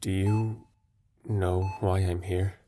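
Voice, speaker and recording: A man's voice: deep voice, level tone, slow pace, warm tone, slight background noise. A young man playing a blunt, dry hospital patient in an audio drama, speaking curtly. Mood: curt, irritated. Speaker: male lead of an audio drama.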